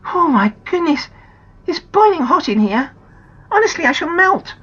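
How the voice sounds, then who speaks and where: A woman voicing an excitable young schoolgirl, high and pleading, in a slightly dull recording. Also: normal speed, exaggerated voice. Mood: eager excited.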